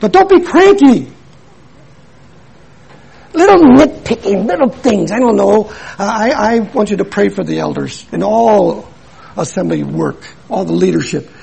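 An older man delivers a sermon with a gravelly, husky voice. He is earnest and emphatic and hammers his points home through repetition and anecdote. His speech rises high and swings widely in pitch. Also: lively intonation, a little slow, darkish tone, poor audio. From Milwaukee, USA. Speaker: preacher.

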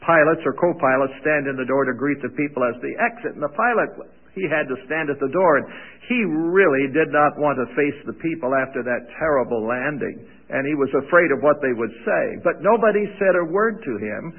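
Loud, folksy older preacher. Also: male voice, a little high, fairly quick. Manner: folksy, self-deprecating humor. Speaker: visiting preacher and radio Bible teacher. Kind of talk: sermon introduction with humorous stories. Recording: tinny phone-like radio sound.

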